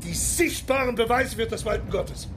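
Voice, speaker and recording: An actor playing a pompous, excitable and pious emperor with boyish streaks, declaiming with drawn-out words in a radio drama. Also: male voice, very high-pitched, music in the background. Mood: pious, fervent.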